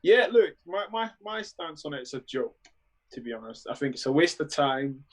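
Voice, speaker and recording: A man's voice: fairly high pitch, sing-song, normal speed. A man in his thirties with a London accent, giving blunt, dismissive opinions in a casual panel chat over a video call. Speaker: guest panelist.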